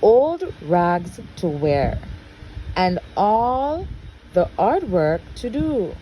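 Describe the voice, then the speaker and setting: A woman reading a storybook aloud as a parent would at bedtime, expressive and deliberate. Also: on the low side, a little hiss or hum, low-quality recording.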